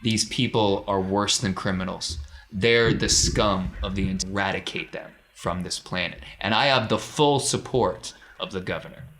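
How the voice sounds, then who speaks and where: A man playing a stern young Imperial captain who delivers a solemn, deliberate propaganda address in a tabletop game. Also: lowish voice, a bit bright. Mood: stern, condemning.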